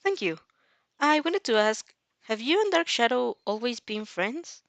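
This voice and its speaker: A polite teenage schoolgirl, played by a woman reader in a story reading. She is earnest, formal and inquisitive, with a slightly raised, lively voice and a dry sound. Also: fairly high pitch, measured pace, no echo. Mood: curious.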